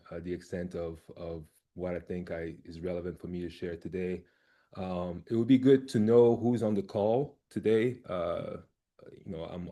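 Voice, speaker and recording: A man from Cameroon who directs a research lab, presenting on a video call and speaking haltingly, with many fillers and restarts. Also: sits low, mellow.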